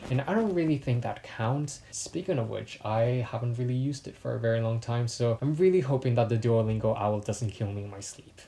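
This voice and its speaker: A man in his early twenties who grew up in Mexico with Spanish as his first language, chatting casually in a facts vlog with relaxed, self-deprecating asides. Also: mid-range voice, vocal fry. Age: 20s. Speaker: YouTuber.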